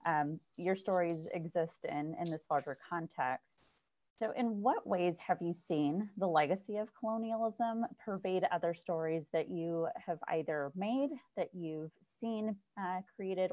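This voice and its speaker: A woman moderates over a video call, putting long, careful questions to the panel. Her voice is very low and gravelly, and her delivery stays measured. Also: a little mellow. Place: indoor room with shelves. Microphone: video call webcam.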